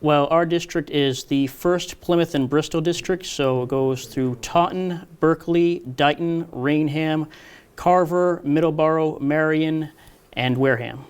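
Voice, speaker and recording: A man, a political candidate answering interview questions in a loud, brisk, no-nonsense way. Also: a little high, clean tone.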